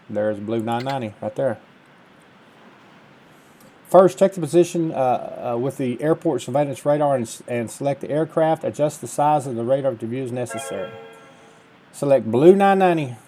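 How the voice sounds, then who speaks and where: A man's voice: mid-range voice, expressive, moderate pace, warm tone. A middle-aged man, casually commentating on gameplay and reading instructions aloud. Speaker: gaming video host. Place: indoors at a computer, webcam.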